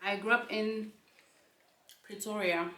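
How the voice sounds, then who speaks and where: A young woman chats in a slow, unhurried way, talking to her viewers between bites. Her voice echoes around the room. The mic sits some distance from her. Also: medium pitch, steady tone, sharp tone. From South Africa. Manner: chatty, talks to the camera between bites. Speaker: channel host. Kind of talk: casual chat while eating.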